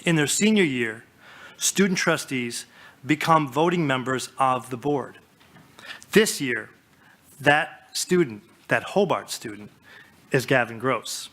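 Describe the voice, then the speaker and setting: A man, a college dean, formally introducing speakers at a ceremony in a steady, courteous manner. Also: mid-range voice, slow pace, pauses often.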